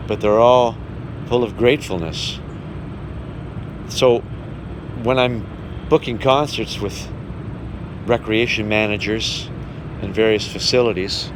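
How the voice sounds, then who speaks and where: A man with a fairly low voice talks in an earnest, measured way, as if to a documentary camera. The recording has clear vehicle and ambient noise behind him. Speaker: travelling singer speaking about his visits to seniors. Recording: noisy, vehicle and outdoor background hum.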